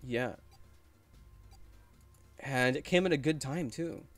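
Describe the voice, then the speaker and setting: A young man streaming, narrating and chatting casually in a playful, animated manner. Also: mid-range voice, slow, soft background music. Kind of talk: casual livestream chat and game narration.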